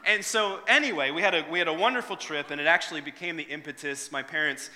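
A man in his thirties preaches, his voice bright and a little high for a man. He talks in an earnest, conversational way, restarting his phrases as he goes. The sound rings with long reverb from a large hall. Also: fast talker. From Northern Ireland. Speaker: pastor. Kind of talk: sermon with prayer and personal story.

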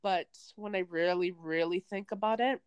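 A woman's voice: fairly low pitch, moderate pace, smooth, dry recording. A young Canadian woman co-hosting a podcast, chatting casually and rambling with lots of fillers. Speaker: podcast co-host. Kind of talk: casual chat. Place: remote, away from co-host.

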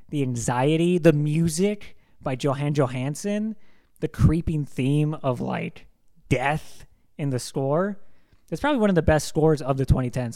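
A man's voice: a little high, darkish tone. A young man co-hosting a casual film discussion podcast, talking with enthusiasm and rambling, filling his sentences with "like". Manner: enthusiastic, rambling, heavy use of "like". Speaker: podcast co-host.

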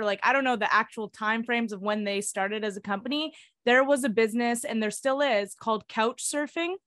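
A woman's voice: a little high, fairly bright tone, no echo, studio quality. A woman guest explaining how she ran room rentals, in a practical, casual way with many fillers. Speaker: guest, short-term rental host. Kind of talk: casual interview answers.

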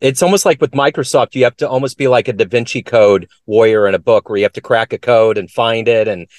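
A man's voice: mid-range voice, fast, no echo. A man hosting an interview, asking curious, friendly questions and lifting his voice on each question. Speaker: interviewer and show host. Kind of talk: conversational interview.

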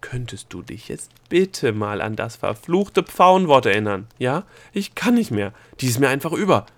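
A man's voice: mid-range voice, lively intonation, brisk, gravelly, dry recording. Man voicing an exasperated, emphatic storybook gnome. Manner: loud, emphatic, wheedling. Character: exasperated, fast-talking male gnome pleading and persuading. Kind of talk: storybook character dialogue.

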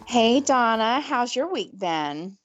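A woman's voice: on the high side, sing-song, slow pace, soft background music. A woman with a mild Southern US accent co-hosts a casual podcast. She talks in a loose, chatty way with easy laughs and plenty of fillers. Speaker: podcast co-host. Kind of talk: casual chat.

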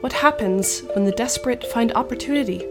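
A woman's voice: on the high side, brisk, music in the background, close to an everyday voice. A woman narrating the intro of an urban fantasy audio drama in a mysterious, dramatic style. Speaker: series narrator.

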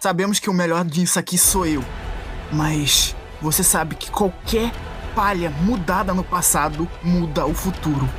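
Male RPG player, insistent reasoning, improvised roleplay, casual narration, clear music behind. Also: sits high, measured pace.

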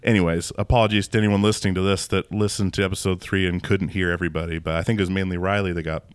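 A man's voice: low-pitched, little pitch movement, rapid-fire, smooth, no echo. A man hosting a podcast, asking friendly, rambling questions in a casual interview, recorded indoors at a theater venue. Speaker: podcast host.

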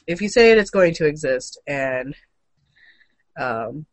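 A woman's voice: low-pitched, animated, a little slow, no echo. Irreverent woman hosting, close dry sound. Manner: irreverent, joking, sweary. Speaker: show host. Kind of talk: casual gaming and comics news chat.

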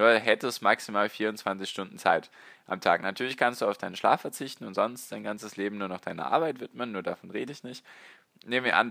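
A man with a lowish voice that still comes across bright and forward, hosting a solo podcast with a slightly strained, hoarse edge. He talks to the listener directly and rambles casually. The sound is dry and close, with no room around it. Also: dry recording. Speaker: podcast host.

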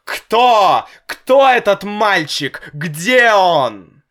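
Brief: hot-tempered father, exasperated and loud, drawn-out lines, played by a male reader. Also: a little high, sing-song, voice pushed up, heavily acted. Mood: agitated. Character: nervous, hot-tempered father, shouting and hissing. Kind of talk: storybook reading.

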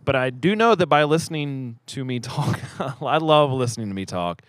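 A man's voice: mid-range voice, lively intonation, normal speed, fairly bright tone, dry recording. A man hosting a podcast in casual banter, rambling and self-deprecating, sometimes mumbling as his sentences trail off. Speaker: podcast host.

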